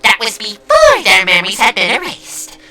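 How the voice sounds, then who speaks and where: A gleefully cruel teenage girl mastermind, in anime dub style. Her voice is very sharp and leaps wildly between mock sweetness and theatrical scorn. Faint music plays behind her. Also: medium pitch, wildly swooping pitch, moderate pace. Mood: scornful, disappointed. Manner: taunting, melodramatic, mock-sweet.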